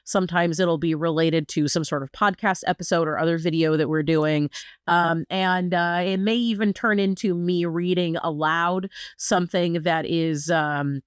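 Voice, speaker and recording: A woman's voice: fairly low pitch, fairly even intonation, normal speed, smooth, no echo, studio quality. A woman co-hosting a podcast, chatting in an easygoing, wry way and laughing between points. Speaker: podcast co-host. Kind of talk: casual chat.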